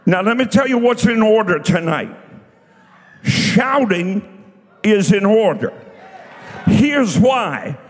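An American man in his sixties preaching a fiery Pentecostal revival sermon, shouting and exhorting with repeated phrases. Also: high voice, lively intonation, slow pace, long pauses. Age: 60s. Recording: reverberant large hall, music behind.

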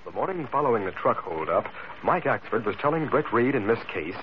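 A man's voice: medium pitch, big pitch swings, fairly quick. A man narrating a radio serial in a formal 1940s announcer style. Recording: muffled vintage radio, loud music behind. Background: music.

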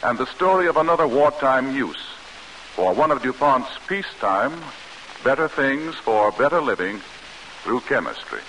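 1940s radio pitchman. American male, animated inflection, formal measured storytelling, faint hiss of vintage broadcast. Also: poor audio. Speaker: commercial announcer. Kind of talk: 1940s radio sponsor message, scripted narration.

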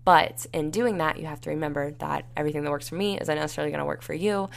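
Raspy low-voiced young woman podcaster. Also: very fast. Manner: rambling, many fillers like "like" and "you know". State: tired and dehydrated. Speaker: podcast host. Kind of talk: solo podcast monologue, casual advice.

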